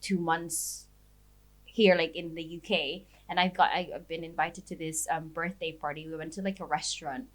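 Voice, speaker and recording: A woman's voice: low voice, level tone, moderate pace. A young woman from the Philippines, an Ilocano speaker, chatting casually as a podcast guest with many fillers. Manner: chatty, lots of fillers and laughter, Taglish asides. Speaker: ice cream business founder, guest.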